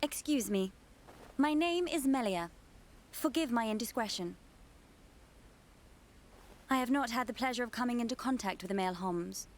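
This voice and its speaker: High, posh British noblewoman. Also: expressive, normal speed, pauses often. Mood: formal, guarded. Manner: formal, stately, aloof. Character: formal, haughty young noblewoman, dignified and reserved. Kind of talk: video game cutscene acting.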